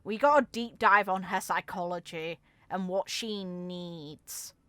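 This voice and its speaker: A woman's voice: on the low side, slow, sharp tone, clean tone, no echo. A woman giving casual, informal reaction commentary as a game content creator, musing aloud as she goes.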